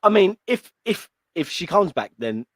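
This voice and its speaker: A man's voice: sits high, very animated, normal speed, a bit bright, dry recording, studio quality. A man co-hosting a livestream, chatting in an enthusiastic, rambling way. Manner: enthusiastic, rambling, laid-back. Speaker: co-host of a wrestling livestream. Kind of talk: casual livestream chat.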